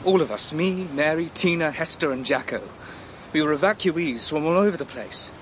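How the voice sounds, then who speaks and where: An actor in a radio drama playing a bitter, mocking adopted son who talks in a blunt, sardonic, goading way. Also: male voice, on the high side, lively intonation, moderate pace, a little hiss or hum, lightly acted. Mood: bitter, challenging. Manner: sardonic, colloquial, challenging.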